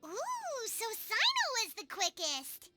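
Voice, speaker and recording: Squeaky, childlike little fairy companion. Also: female voice, huge pitch swings, takes it slowly, loud music behind the voice, exaggerated voice. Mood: surprised. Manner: speaks of herself in third person. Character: tiny floating fairy girl companion, curious, blunt, childlike. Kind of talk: animated video game voice acting.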